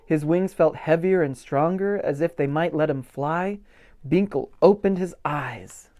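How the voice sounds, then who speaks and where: A man reading a picture book aloud as a pastor at storytime, with gentle expression and simple asides for young children. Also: fairly high pitch, measured pace. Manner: gentle, expressive, stretches key words for emphasis.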